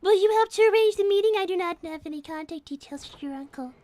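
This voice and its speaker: An extremely high, childlike cartoon rabbit girl in live roleplay. She speaks primly and formally, with earnest, scheming self-righteousness that tips easily into indignation. The sound is dry and close. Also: fast, mellow, dry recording. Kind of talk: improvised live character roleplay.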